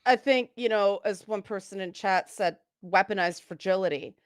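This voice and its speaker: A woman's voice: mid-range voice, moderate pace, clean tone, no room sound, studio quality. A woman speaking as a guest on a casual panel discussion, frank and opinionated.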